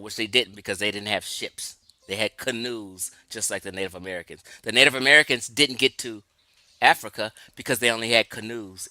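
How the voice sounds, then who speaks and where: A man's voice: mid-range voice, pushed and bright, no room sound. A man from Los Angeles giving an emphatic, lecturing livestream talk, answering his chat and laughing often. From Los Angeles, California. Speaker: livestream host.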